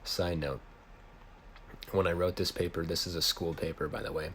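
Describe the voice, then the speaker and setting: An American man with a low voice reads a story he wrote. He works from a written text as an amateur storyteller. There is faint noise in the home recording. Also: fairly even intonation, normal speed, a bit bright. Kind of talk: reading own written story aloud.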